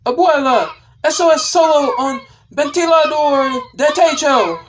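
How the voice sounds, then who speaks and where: A man voicing an exclaiming meme character who calls out to a grandma in loud, drawn-out shouts. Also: very high-pitched, animated, voice pushed up. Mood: loud, excited.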